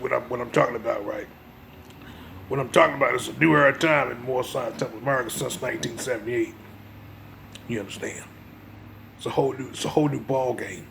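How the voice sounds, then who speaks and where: A man with a gravelly voice giving an informal religious lecture, part reading and part commentary, emphatic and repeating his phrases to press the point. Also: mid-range voice, fairly bright tone, slight background noise. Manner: emphatic, repeats phrases, checks listener's understanding. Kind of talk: informal religious lecture mixing read-aloud passages and commentary.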